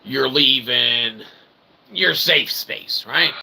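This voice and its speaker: A man's voice: mid-range voice, drawn-out words, sharp tone. A man hosting a livestream with a rambling, comedic philosophical monologue, speaking in theatrical, mock-formal phrases with frequent check-in tags. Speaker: livestream host and self-published writer. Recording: clearly noisy recording with background ambience.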